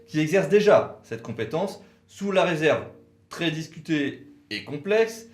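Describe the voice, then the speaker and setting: A man explains legal points step by step as a co-presenter. His intonation moves up and down in a lively way, with an instructive delivery. The room adds an audible echo. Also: a little high, unhurried. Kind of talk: explanatory legal video talk, semi-scripted. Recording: roomy, echoey room sound.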